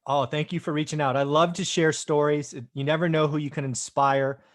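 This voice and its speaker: A man's voice: on the high side, level tone, brisk. A man hosting an interview show, upbeat and enthusiastic with his guest. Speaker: host.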